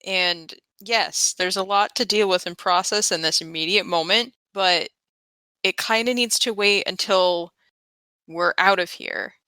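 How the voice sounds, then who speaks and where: Bright-voiced earnest woman gamer. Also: medium pitch, a little slow, no room sound. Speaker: tabletop game player. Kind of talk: casual in-game role-play.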